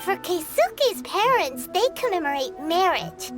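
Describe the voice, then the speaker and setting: A woman voicing a tiny floating fairy companion who is excitable and talks about herself in the third person. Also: very high-pitched, unhurried, music in the background, exaggerated voice. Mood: thoughtful. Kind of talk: video game voice acting. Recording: game score playing loudly underneath.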